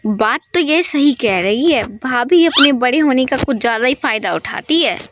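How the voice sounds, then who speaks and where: A woman's voice: high-pitched, lively intonation, moderate pace, noisy background. A woman voicing a bossy, scornful young married sister-in-law in a cartoon story, curt and sarcastic, with music behind. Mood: resentful. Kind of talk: acted dialogue in a Hindi moral story cartoon.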